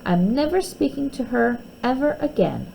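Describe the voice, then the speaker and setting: A woman's voice: on the high side, very animated, moderate pace, creaky, warm tone, a little hiss or hum, voice pushed up, full character voice, low-quality recording. A woman voicing a bossy, quarrelsome young girl from a children's storybook, with emphatic delivery. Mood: sulky.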